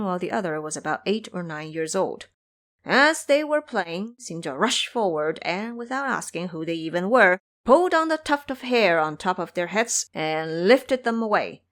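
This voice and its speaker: A woman giving scripted narration for a fantasy audio drama. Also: mid-range voice.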